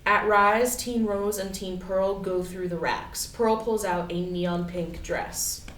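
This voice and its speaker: A woman's voice: mid-range voice, fairly even intonation, takes it slowly, fairly bright tone. A woman reading stage directions as scripted narration for a play reading, recorded in an echoey space. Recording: echoey, distant mic, faint background noise.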